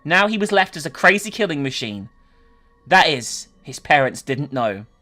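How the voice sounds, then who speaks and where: A woman's voice: low-pitched, big pitch swings, normal speed, a bit bright. A woman narrating and commenting in a dramatic but casual story-reading style. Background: faint music.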